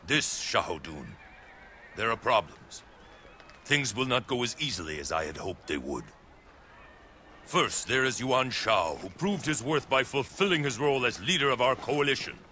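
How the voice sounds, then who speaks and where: An actor playing a proud, ambitious warlord of ancient China in a game cutscene, speaking gravely in measured, oratorical phrases. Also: male voice, mid-range voice, big pitch swings, pushed and bright, slight background noise. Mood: grave, wary. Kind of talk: dramatic video game cutscene acting.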